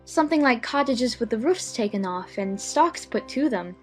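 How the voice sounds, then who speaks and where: A woman's voice: a little high, lively intonation, moderate pace, faint music behind, close to an everyday voice. A woman playing a curious, polite and slightly timid young girl who talks to herself in a dramatized audiobook. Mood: wondering.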